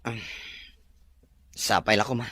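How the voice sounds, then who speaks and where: A man as a low, husky, devoted groom. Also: fairly even intonation, takes it slowly, sharp tone, no echo, heavily acted. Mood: reassuring. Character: devoted, reassuring groom, a gentleman in love. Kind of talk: audio drama acting.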